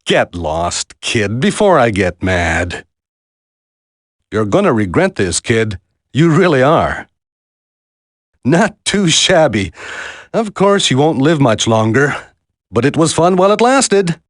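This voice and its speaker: A man's voice: mid-range voice, sing-song, slow pace, pauses often, dry recording, studio quality. A man voicing a smug, condescending villain in a video game, drawling his mocking taunts.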